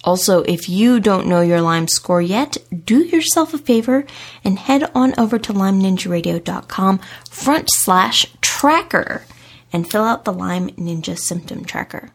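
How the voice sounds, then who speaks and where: Woman, husky voice, gravelly, upbeat podcast co-host. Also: medium pitch, expressive, measured pace. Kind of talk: casual podcast chat.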